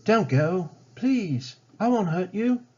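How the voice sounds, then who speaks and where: Man reading as a kindly, husky adventurer. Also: sits high, expressive, slow pace, mellow, voice pushed up, heavily acted. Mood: pleading. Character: friendly, kind-hearted male English adventurer. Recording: dull lo-fi home recording.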